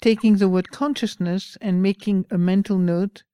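A woman with a French accent giving a slow, pausing dharma talk as a meditation teacher. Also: mid-range voice, steady tone, mellow, clean tone, no room sound, clean studio sound.

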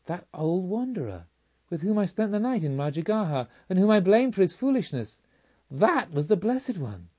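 An excitable male pilgrim spirit from a storybook, acted out by a man, lifted in pitch and full of urgent wonder. He exclaims and reproaches himself in a slightly dull recording. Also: moderate pace, slightly rough, heavily acted. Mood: rueful astonishment.

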